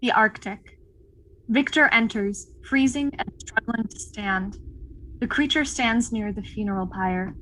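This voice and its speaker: A woman reading stage directions as scripted narration, in a measured, dramatic way. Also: fairly high pitch, a bit bright, a little hiss or hum, poor audio.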